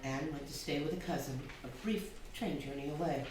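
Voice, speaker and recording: A performer playing a wry, measured narrator in a stage radio drama, telling the story deliberately. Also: mid-range voice, a little mellow, soft background music, natural voice. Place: theatre stage, live performance. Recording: very echoey hall, distant mic, faint noise.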